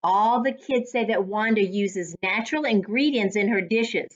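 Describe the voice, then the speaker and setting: A woman reading a children's story aloud as a reading coach, with a deliberate, instructive, teacher-like delivery. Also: medium pitch. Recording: dull lo-fi sound.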